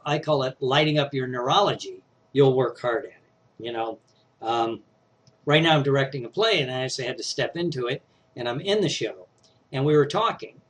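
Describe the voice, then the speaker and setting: A middle-aged man with a slightly scratchy voice, teaching success skills in a casual, conversational talk that he often punctuates with "right?". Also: medium pitch. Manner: conversational, frequent "right?" and "you know" tags. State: scratchy voice, clearing throat.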